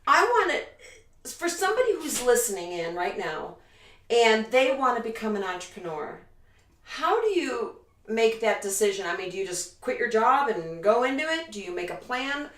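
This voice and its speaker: Bubbly woman host, slightly high voice. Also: sing-song, moderate pace, fairly bright tone. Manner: bubbly, enthusiastic, exclamatory. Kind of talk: casual interview hosting. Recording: echoey room, far from mic, faint noise.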